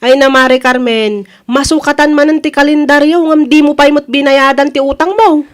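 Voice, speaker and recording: High-voiced indignant Ilocano wife, played by a woman. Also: steady tone, normal speed, close to an everyday voice. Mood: scolding. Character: indignant nagging wife chasing an unpaid debt. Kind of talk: Ilocano comedy radio drama acting.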